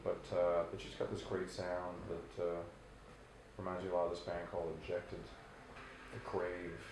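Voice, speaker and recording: Brief: deep-voiced male rock singer, loose rambling answers, roomy echo. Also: normal speed, noisy background. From Canada. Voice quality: very low bass voice. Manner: relaxed, rambling, many restarts and fillers. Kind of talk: casual interview answers.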